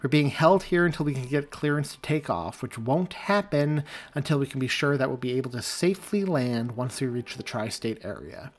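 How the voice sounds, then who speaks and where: A man's voice: medium pitch, expressive, moderate pace, lightly acted. A man voicing a young woman, a snarky flight attendant who speaks firmly and curtly. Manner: firm and clipped.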